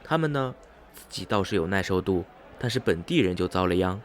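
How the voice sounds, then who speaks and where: A man acting in a radio drama as an educated, rational traveller who speaks in a measured, inquisitive and sceptical way. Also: low-pitched, expressive. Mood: speculative. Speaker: actor in an amateur student radio drama.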